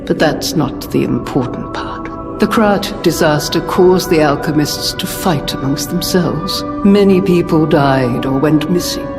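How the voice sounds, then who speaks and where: A solemn, genteel guardian. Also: female voice, low-pitched, measured pace, few pauses. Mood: grave. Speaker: video game character. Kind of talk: scripted game cutscene dialogue. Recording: long reverb under music.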